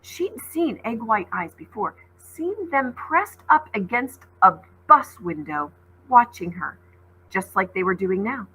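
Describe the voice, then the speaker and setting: A woman's voice: medium pitch, big pitch swings, slow, bright, forceful tone, a little hiss or hum, rough recording. A woman teacher reading a story aloud dramatically, with conversational asides to explain it.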